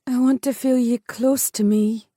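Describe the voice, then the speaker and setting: A woman voicing a bold, impulsive young Highland maiden. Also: fairly even intonation, measured pace, mellow, no room sound, clean studio sound. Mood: yearning.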